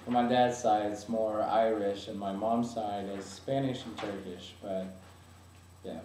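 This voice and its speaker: A young man from France, a native French speaker, talks in English in a low, mellow voice and often stops to restart a sentence. He sits well back from the mic in an echoey room with a soft hiss under him. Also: fairly even intonation, measured pace, distant mic. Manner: hesitant, many fillers and restarts. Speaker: interviewee. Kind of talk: casual interview answers.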